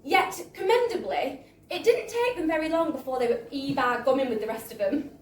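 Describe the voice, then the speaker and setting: A woman reads a story to a live room in a high, theatrical voice. Her pitch swings freely and expressively. She sounds distant from the mic in an echoey space. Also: animated, brisk, slight background noise. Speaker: reader of a book excerpt. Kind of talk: live dramatic storytelling reading. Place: live book launch in a bar.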